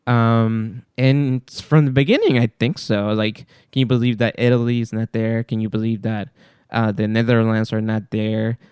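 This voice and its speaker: Guatemalan man with Mexican accent, smooth voice. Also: on the low side, fairly even intonation, darkish tone, no room sound, studio quality. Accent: Mexican Spanish-tinged English. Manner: earnest, encouraging, slightly halting phrasing. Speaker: podcast co-host. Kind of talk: casual chat.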